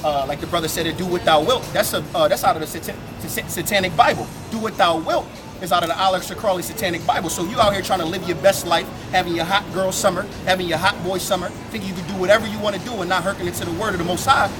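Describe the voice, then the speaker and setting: A man's voice: sits high, quick pace. A man reading scripture aloud on a city street in a proclaiming, rising cadence, then preaching on it. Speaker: scripture reader. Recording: street crowd and music behind.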